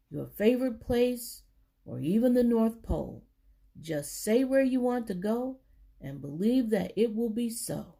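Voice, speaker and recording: A woman reading a children's book aloud, with deliberate, emphatic phrasing for young listeners. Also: fairly high pitch, gravelly, mellow. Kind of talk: storybook read-aloud for children.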